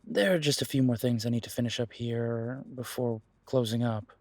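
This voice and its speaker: Casting: male actor as protective werewolf detective boyfriend, soft reassuring delivery, mid-pitched voice, very dry intimate sound. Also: normal speed, no echo, natural voice. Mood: tender. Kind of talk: intimate roleplay audio drama.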